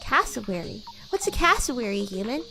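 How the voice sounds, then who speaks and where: A woman's voice: on the high side, very animated, brisk, over music, poor audio. A woman voicing a bratty, playful young demon schoolgirl in a girlish voice, chatting in character on a roleplay livestream. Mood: curious, casual. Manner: playful, giggly, teasing. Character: bratty young demon schoolgirl class president with baby wings. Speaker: VTuber streamer.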